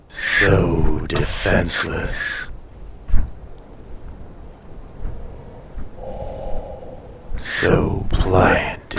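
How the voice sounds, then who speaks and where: A man playing a proud, teasing ancient dragon in an intimate roleplay audio, speaking in a condescending, often hushed and breathy way. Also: low-pitched, exaggerated voice.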